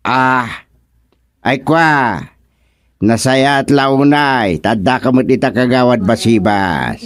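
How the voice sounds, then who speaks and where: A man's voice: mid-range voice, takes it slowly, no echo, close to an everyday voice. A man playing an exasperated village chairman in an Ilocano radio comedy drama, with an Ilocano accent and conversational interjections. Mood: chiding. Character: male barangay chairman, exasperated with a lazy councilman.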